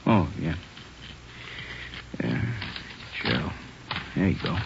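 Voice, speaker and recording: A man's voice: very deep voice, expressive, extremely slow, creaky, full character voice. A man playing a laconic, casual police officer giving reports in 1940s radio drama style. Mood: matter-of-fact. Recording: muffled, hissy 1940s radio recording.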